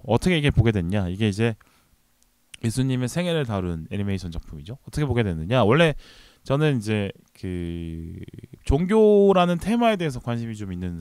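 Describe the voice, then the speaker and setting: A man with a fairly low voice hosts his own movie podcast, talking in a loose, rambling way full of fillers and side remarks. The sound is dry and close, with no room around him. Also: normal speed, clear, resonant tone, no echo. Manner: relaxed, rambling, many fillers and trailing remarks. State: recovering from a cold. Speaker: podcast host. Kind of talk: casual solo movie podcast talk.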